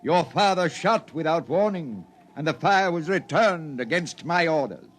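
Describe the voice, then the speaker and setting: Slow, oratorical old Martian leader. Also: male voice, a little high, expressive. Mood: grave, restrained. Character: solemn old male prophet-like leader of Martians. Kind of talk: 1950s radio drama acting.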